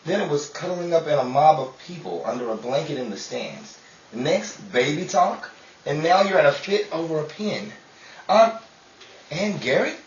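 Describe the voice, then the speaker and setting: An actor playing a grumpy, unromantic homebody husband in old-time radio style, with a blustery, exasperated manner. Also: male voice, fairly high pitch, lively intonation, moderate pace, close to an everyday voice. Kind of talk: old-time radio comedy-drama acting. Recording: echoey, dull, distant, faintly noisy amateur recording.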